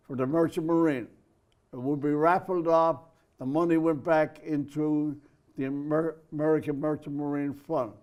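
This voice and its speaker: An older man with an Irish accent, a guest in a TV studio telling lively anecdotes and acting out the voices of people he quotes. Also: fairly high pitch, clean tone. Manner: lively storyteller who acts out quoted voices. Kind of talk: anecdotal storytelling.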